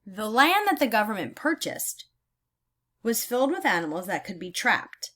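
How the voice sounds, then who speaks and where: A woman teacher reading aloud in a deliberate, instructional way, pausing to explain her thinking as she goes. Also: mid-range voice, big pitch swings, bright, forceful tone. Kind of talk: read-aloud lesson with think-aloud comments.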